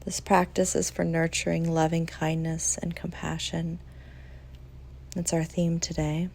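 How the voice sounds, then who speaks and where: Female meditation guide: mellow, relaxed, slightly low voice, gentle and soothing delivery with unhurried pauses. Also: creaky, a little hiss or hum. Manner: soft, soothing, with long pauses.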